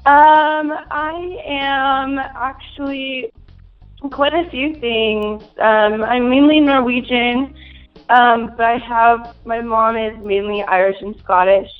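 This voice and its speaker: A woman's voice: fairly high pitch, fairly even intonation, slow, narrow, tinny audio, faint music behind. A twenty-year-old woman from Minnesota, answering interview questions in a hesitant way with many fillers and giggles. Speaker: interview guest.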